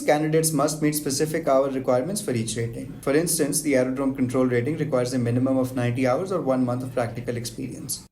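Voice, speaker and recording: Brisk man reading aviation rules. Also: medium pitch. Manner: brisk, even, list-like reading of technical terms. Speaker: aviation explainer host. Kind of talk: scripted educational narration, just-a-minute format.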